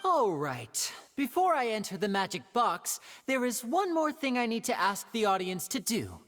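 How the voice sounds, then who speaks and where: A man's voice: high-pitched, sing-song, moderate pace, fairly bright tone. An actor voicing a flamboyant, playful and confident young male stage magician in a video game, with polished, teasing theatrical patter. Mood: playful showmanship.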